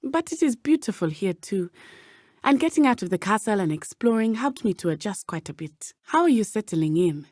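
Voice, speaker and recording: Woman playing brave teen witch girl from a video game, lively pitch, careful formal wording, dry close sound. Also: no echo, lightly acted. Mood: earnest, concerned. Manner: precise, measured, formal diction. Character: earnest, brave teenage schoolgirl witch from Uganda. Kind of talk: video game character dialogue.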